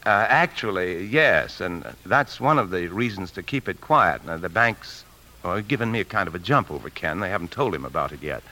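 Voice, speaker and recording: An American actor playing a scheming, secretive married bank man in a 1950s radio drama, brooding and deliberate. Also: sits low, expressive, bright, forceful tone, heavily acted, rough recording. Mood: evasive. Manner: brooding, deliberate, hesitant when lying. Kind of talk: 1950s radio drama acting and confessional narration. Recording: 1950s radio broadcast recording.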